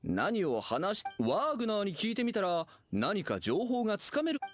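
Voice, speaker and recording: Courteous young knight, expressive pitch. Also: male voice, moderate pace, soft background music. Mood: helpful. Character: courteous, helpful young knight on guard duty. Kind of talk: anime game dialogue.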